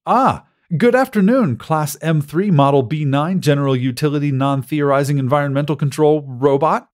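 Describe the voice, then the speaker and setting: A man voicing a courteous, patient robot museum guide in a science fiction audiobook, speaking in a formal, measured way. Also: on the high side, voice pushed up, lightly acted.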